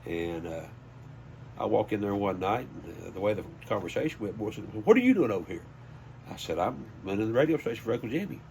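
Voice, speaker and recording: Older Southern man, low folksy voice. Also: lively intonation, fast, creaky, a little mellow, slight background noise. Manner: folksy, anecdotal. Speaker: high school sports PA announcer. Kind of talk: casual interview storytelling.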